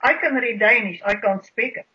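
A South African guest with an Afrikaans accent, explaining history in a halting way with many repeated words. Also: mid-range voice, a crowd in the background. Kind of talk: radio interview, explaining history. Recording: dull lo-fi radio sound.

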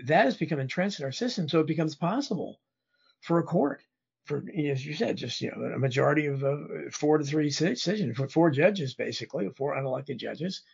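Male guest commentator, hoarse and losing his voice, halting delivery, raspy and dull-sounding. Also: fairly high pitch, lively intonation, darkish tone. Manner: rambling, halting with restarts. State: losing his voice, throat-clearing. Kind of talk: political interview.

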